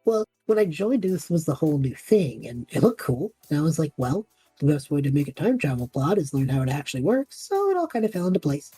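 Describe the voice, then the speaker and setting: A woman voicing a cocky, theatrical young male magic student with a husky voice in an audio drama. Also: low-pitched, big pitch swings, brisk, warm tone, no echo, soft background music, heavily acted. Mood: defensive. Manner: theatrical, self-important, easily wounded. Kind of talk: audio drama character banter.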